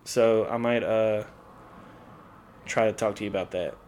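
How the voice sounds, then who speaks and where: A man's voice: fairly low pitch, fairly even intonation, unhurried, darkish tone, a little hiss or hum. A young man co-hosting a casual podcast, with a dry, teasing and easygoing manner. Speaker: podcast co-host.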